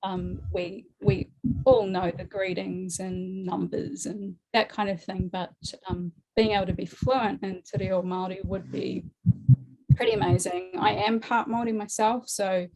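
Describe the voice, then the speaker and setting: A woman's voice: on the low side, moderate pace, darkish tone, low-quality recording. A woman in her forties with a New Zealand accent, a guest talking casually in an interview, chatty with frequent laughs and many fillers. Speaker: guest artist.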